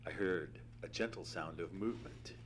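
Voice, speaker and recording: A man playing an earnest gentleman sidekick who narrates a mystery with theatrical, deliberate storytelling in an old-time radio play. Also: sits low, natural voice. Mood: hushed dread. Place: theatre stage. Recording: humming, noisy live theatre recording.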